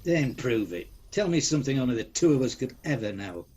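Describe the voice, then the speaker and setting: A man's voice: mid-range voice, big pitch swings, fairly quick, a little mellow, slight background noise, close to an everyday voice. A man acting a grumpy, sceptical old miser in a radio play, with a blustering, indignant, exclamatory delivery. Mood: disbelieving. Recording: dull lo-fi sound, music behind.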